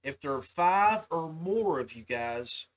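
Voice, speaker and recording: A man's voice: fairly high pitch, expressive, slow pace. A man hosting a livestream podcast, talking casually and informally to his viewers. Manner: informal, addresses listeners as guys. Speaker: podcast host.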